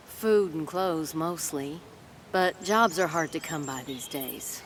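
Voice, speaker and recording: Game role: kindly but firm matriarch of outcasts. Low pitch, measured and formal, faint ambience behind. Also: female voice, slight background noise. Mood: composed, kindly. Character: protective, kindly, composed female leader of outcast community. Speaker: community leader character. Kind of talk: video game dialogue.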